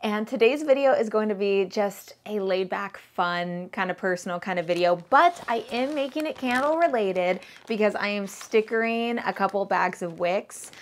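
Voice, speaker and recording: A thirty-year-old woman vlogging about her candle business in a relaxed, rambling chat, full of fillers and "like"s while she works with her hands. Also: mid-range voice, moderate pace, few pauses. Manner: chatty, rambling with fillers and likes. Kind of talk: casual chat to camera.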